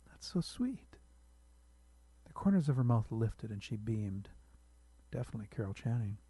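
A man's voice: on the low side, a little fast, mellow, slightly rough, no echo. A man reading a literary short story aloud as a narrator, close to the microphone.

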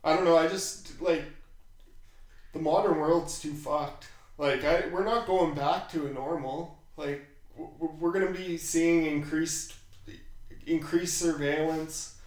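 A man with a raspy, slightly high voice talks slowly in a heavily echoing room. He chats casually like a podcast host, swearing freely and irreverently. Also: fairly even intonation. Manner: profane, irreverent. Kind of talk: casual chat. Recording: very echoey room.

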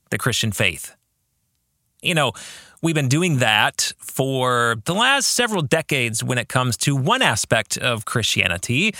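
A man's voice: mid-range voice, sharp tone, no room sound, studio quality. A man hosting a podcast, speaking in an earnest, reflective way and often putting rhetorical questions to the listener. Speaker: podcast host. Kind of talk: reflective book discussion addressed to listeners.

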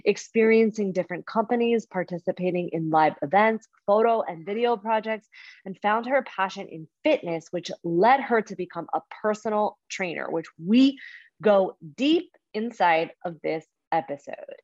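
A woman's voice: medium pitch, expressive, normal speed, darkish tone, no room sound, dull audio. A woman hosting an interview podcast, reading a scripted introduction and then chatting with enthusiasm. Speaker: podcast host. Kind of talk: upbeat interview chat.

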